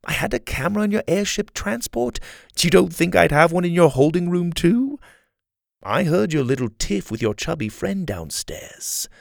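A man's voice: very animated, normal speed, vocal fry, no room sound, clean studio sound. A man putting on a smug, taunting villain. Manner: gloating, theatrical, high mocking tone. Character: smug scheming male rebel leader, silky and taunting.

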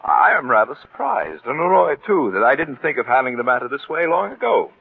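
An actor playing a smug, falsely genial middle-aged blackmailer in 1940s radio drama, with a suave, condescending delivery. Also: male voice, mid-range voice, big pitch swings, a little fast, creaky, breathy edge, heavily acted. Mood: smug, mocking. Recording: tinny, hissy old radio recording.

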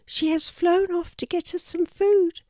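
A woman's voice: very high-pitched, steady tone, measured pace, darkish tone, no room sound, voice pushed up. A woman voicing a tiny, scared baby female kookaburra in a storybook, with a trembling, timid manner.

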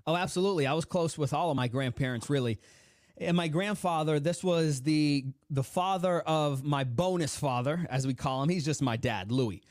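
A young adult man from the United States, a motivational speaker telling his life story in an interview, acting out the voices of his family with big swings in pitch. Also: moderate pace, dry recording, studio quality. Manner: animated storyteller, acts out quoted dialogue with big pitch swings.

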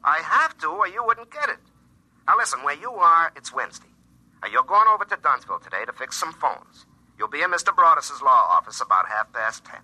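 Sharp, bossy man on a telephone. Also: on the high side, sing-song, brisk, husky, heavily acted, poor audio. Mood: brusque, smug. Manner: brusque, commanding, smug. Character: bossy, knowing future self of a repairman. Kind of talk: classic radio drama acting.

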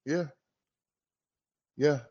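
A man's voice: very slow, dark tone, dull audio. A man co-hosting a casual sports podcast, talking in a laid-back, wry and joking way. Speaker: podcast co-host.

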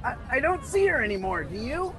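A man voicing an earnest, excitable little radio reporter in a scripted comedy radio drama. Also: extremely high pitch, a little fast, full character voice. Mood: curious.

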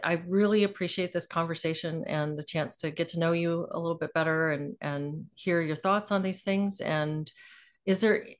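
A woman's voice: lowish voice, steady tone, normal speed. A woman hosting an interview, talking casually and hesitantly as she searches for words, with many fillers. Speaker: interviewer, host.

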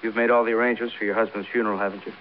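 An actor playing a brisk, needling American police detective sidekick, clipped and wry, in old-time radio crime drama. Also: male voice, lowish voice, flat delivery, lightly acted. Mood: pressing. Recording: muffled, noisy vintage radio broadcast.

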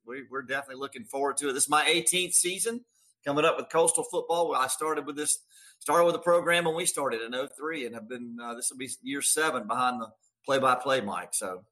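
A man's voice: mid-range voice, fairly quick, sharp tone, rough recording. A middle-aged man, a radio announcer, chatting casually as an interview guest in a folksy, emphatic way, repeating words for stress. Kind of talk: casual sports interview chat.

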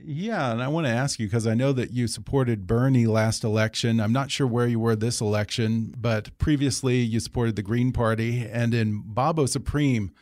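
A man's voice: medium pitch, moderate pace, hardly any pauses, a little mellow, dry recording, studio quality. An American man hosting a conversational interview and putting questions to his guest. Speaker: interviewer, host.

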